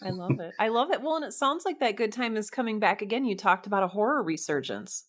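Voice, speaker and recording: A woman's voice: mid-range voice, animated, quick pace. A woman, an adult podcast host, interviewing casually in an informal, effusive and encouraging way. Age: 30s or older.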